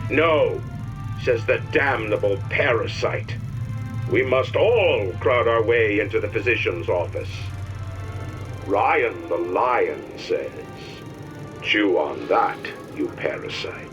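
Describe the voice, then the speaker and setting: An actor playing a pompous industrialist ruler, delivering theatrical, oratorical propaganda with emphatic grandeur. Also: male voice, lowish voice, lively intonation, takes it slowly, dull audio, music in the background. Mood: preachy, folksy. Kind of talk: propaganda address, theatrical game voice acting.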